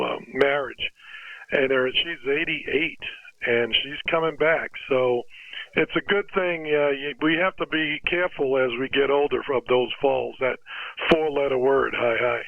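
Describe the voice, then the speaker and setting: A man's voice: mid-range voice, vocal fry, no echo. An older American man chatting casually and encouragingly as an amateur radio operator, handing over as he goes. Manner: chuckling, encouraging, uses radio sign-overs. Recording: dry, narrow phone-like radio audio.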